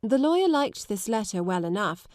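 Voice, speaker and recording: Measured woman narrator, dry close sound. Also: mid-range voice, big pitch swings, darkish tone, no room sound. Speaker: audiobook narrator. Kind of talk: scripted literary narration.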